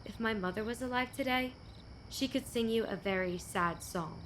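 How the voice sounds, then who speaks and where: A woman's voice: on the high side, normal speed, fairly bright tone, slight background noise, natural voice. A young woman acting a proud, bitter, fiercely independent young woman in a radio play, guarded and terse. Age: young adult.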